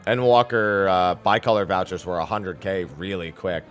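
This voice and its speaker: A man's voice: low-pitched, moderate pace, faint music behind. A man chatting casually as he hosts a livestream of a video game. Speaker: game streamer.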